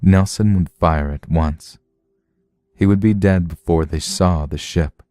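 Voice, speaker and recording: A man with a low, dark voice narrates a suspense story. He reads slowly and deliberately, in a measured dramatic style, recorded close and dry. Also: dry recording.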